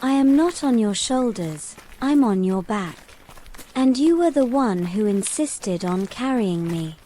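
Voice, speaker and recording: A woman's voice: fairly high pitch, lively intonation, unhurried, mellow. A woman playing a naive, curious mermaid in a fantasy audio drama, wondering and questioning in simple words. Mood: protesting. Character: curious, naive mermaid new to the human world.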